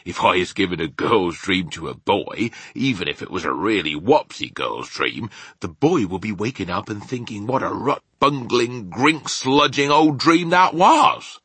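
A man's voice: on the low side, lively intonation, unhurried, hardly any pauses, a bit bright, husky, dry recording, full character voice. A man voicing a kindly, simple-spoken storybook giant, talking in an emphatic, folksy way. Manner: folksy, muddled grammar, emphatic. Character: kindly, simple-spoken old giant, enthusiastic about dreams.

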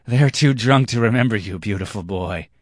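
A man voicing a drunken hunchbacked dancer, an excitable and effusive flatterer in a story. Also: fairly low pitch, normal speed, no room sound, studio quality, voice pushed up, close to an everyday voice. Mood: fawning. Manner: effusive, exclaiming.

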